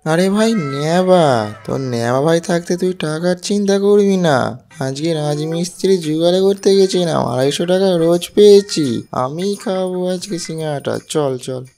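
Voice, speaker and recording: A man's voice: a little high, moderate pace, mellow, faint music behind, close to an everyday voice. A man voicing a boastful, penniless, cajoling friend in a comedy cartoon, speaking slangily.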